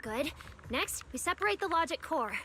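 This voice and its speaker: High-pitched earnest young woman technician. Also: animated, moderate pace, background noise. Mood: focused, formal. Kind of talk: video game voice acting. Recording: background music under the voice.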